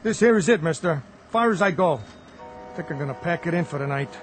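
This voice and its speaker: An actor playing a weary, impatient working-class cab driver in a radio drama, curt and plain-spoken. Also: male voice, a little high, lively intonation, a little fast, music in the background, natural voice. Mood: weary, businesslike.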